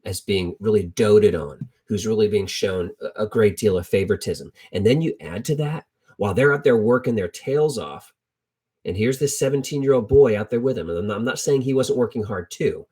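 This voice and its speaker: A middle-aged man with a husky, slightly rough voice at a medium pitch preaches informally. He tells Bible stories in plain, colloquial language and repeats key phrases to drive them home. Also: mellow. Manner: conversational, colloquial, with emphatic repetition. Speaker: preacher teaching a Bible lesson. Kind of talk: informal sermon, storytelling Bible teaching.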